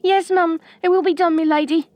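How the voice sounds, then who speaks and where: High-pitched meek servant girl. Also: steady tone, fairly quick, lo-fi sound, slight background noise. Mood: obedient. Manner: apologetic, obedient, formal. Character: meek, deferential young female castle servant.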